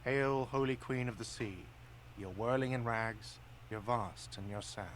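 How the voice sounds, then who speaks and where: An actor playing a grumpy middle-aged hostel manager in a video game, gruff and defensive, swearing now and then. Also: male voice, medium pitch, unhurried, lightly acted. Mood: grumbling. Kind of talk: game voice acting.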